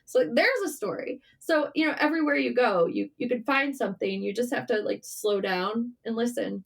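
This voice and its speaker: A woman speaking as a writer guest in a casual, candid interview, informal and animated in manner. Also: on the high side, normal speed, vocal fry. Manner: animated, informal, swearing, self-deprecating.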